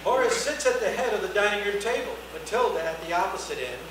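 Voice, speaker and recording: A man's voice: high voice, lively intonation, quick pace. A man reading scripted stage directions as the narrator at a live screenplay reading. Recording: echoey noisy hall, distant mic.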